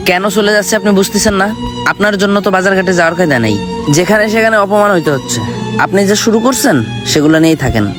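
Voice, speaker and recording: A man's voice: sits high, very fast, over music, natural voice. A young man with a rural Bangladeshi accent playing a reproachful, pleading son in an amateur drama. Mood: hurt, reproachful. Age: young adult. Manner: reproachful, insistent. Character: upset, pleading young son scolding his smoker father. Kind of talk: amateur Bengali short drama acting.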